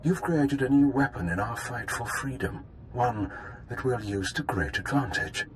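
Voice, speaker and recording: A man's voice: mid-range voice, unhurried, a little hiss or hum, lightly acted. A man playing a cold, authoritative terrorist leader with formal delivery in a scripted game cutscene. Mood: cold, proud.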